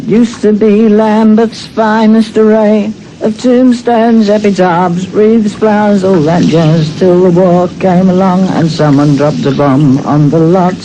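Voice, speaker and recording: A man's voice: sits high, steady tone, slow pace, hardly any pauses, background noise. A man delivering a theatrical storytelling novelty song.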